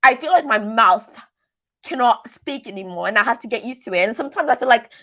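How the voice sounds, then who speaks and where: Higher-pitched woman, casual rambling livestreamer. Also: lively intonation, brisk, sharp tone. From Canada. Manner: rambling, self-deprecating, stumbles over words; playfully switches between accents. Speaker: livestream host of a reality TV recap channel. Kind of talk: casual live chat, answering viewer comments.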